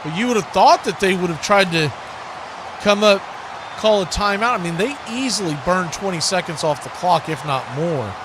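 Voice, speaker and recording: High-voiced man calling football, noisy background. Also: expressive, normal speed. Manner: conversational, mixes calls with opinion. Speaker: play-by-play announcer for a fan watch-party stream. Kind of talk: live football commentary. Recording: clearly noisy live stream audio.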